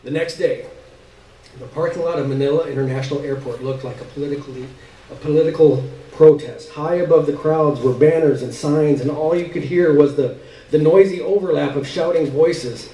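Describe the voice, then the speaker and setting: A man's voice: a little high, moderate pace, mellow. A man giving a dramatic literary reading of a short story on stage. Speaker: story reader. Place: live stage reading in a room. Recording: echoey room, distant mic, faint noise.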